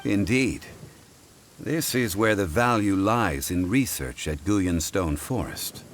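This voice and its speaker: An actor playing a calm, learned gentleman in a video game, speaking in a measured, formal, erudite way. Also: low voice, slight background noise. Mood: calm, scholarly. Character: calm learned gentleman scholar, adult man, composed and formal.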